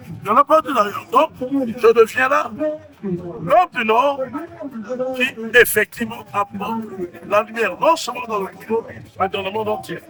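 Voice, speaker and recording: A man's voice: extremely high pitch, moderate pace, long pauses, sharp tone, rough recording. A man with a Central African French accent preaching a sermon as a prophet, emphatic and repeating his phrases.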